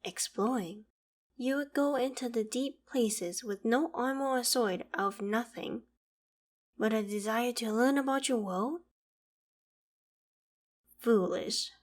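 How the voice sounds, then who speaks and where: A woman's voice: on the high side, slow pace, long pauses. A woman playing a proud, ancient female dragon in an audio roleplay, speaking in formal, archaic phrases with a haughty, theatrical manner that still holds fondness for humans. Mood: exasperated, curious. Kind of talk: scripted audio roleplay monologue.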